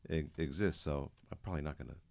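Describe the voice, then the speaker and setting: A man in the audience asking the panel a long question, halting and full of fillers. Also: very deep voice, creaky, a little mellow.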